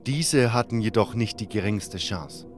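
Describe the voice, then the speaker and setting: A man's voice: lowish voice, measured pace. A man narrating a fantasy audio drama from a script as a first-person storyteller. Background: prominent music.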